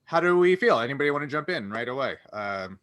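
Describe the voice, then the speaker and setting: Bright-voiced man hosting a casual chat. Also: mid-range voice, big pitch swings, fast talker. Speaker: podcast host.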